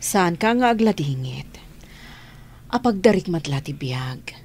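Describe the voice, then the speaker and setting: An actress with an Ilocano accent playing a gentle, devout religious sister who comforts and counsels in a radio drama. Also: female voice, lowish voice, expressive, a little slow. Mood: comforting, devout.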